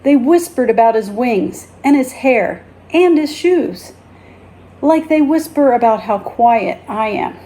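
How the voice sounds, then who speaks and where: A woman reading a children's story aloud as a teacher, in a deliberate, expressive storytelling manner. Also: fairly high pitch, poor audio.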